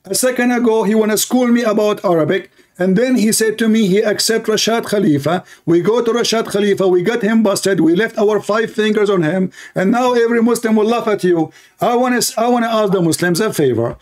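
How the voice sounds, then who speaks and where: A man's voice: sits high, a little fast, hardly any pauses, a little mellow. A man hosting an online debate, combative and mocking, repeating his demands. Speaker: debate host.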